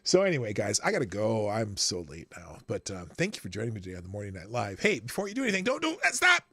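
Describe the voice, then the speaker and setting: A man hosts his own live stream in a loose, chatty way, and his voice is husky and bright. His pitch jumps about a lot, and faint music plays behind him. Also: fast talker, no echo. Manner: chatty, animated sign-off with asides. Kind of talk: casual live-stream commentary.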